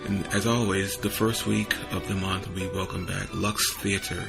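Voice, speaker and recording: A very low-pitched man with heavy fry in his voice hosts a radio drama series. He gives an easygoing episode introduction over clearly audible music. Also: little pitch movement, normal speed. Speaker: podcast host. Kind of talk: casual scripted episode introduction.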